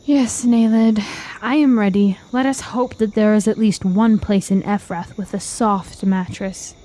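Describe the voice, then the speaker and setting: A woman's voice: mid-range voice, steady tone, unhurried, dark tone, lightly acted. An actress playing a reluctant, comfort-loving woman traveler in a fantasy radio drama, fretful and plaintive. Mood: resigned.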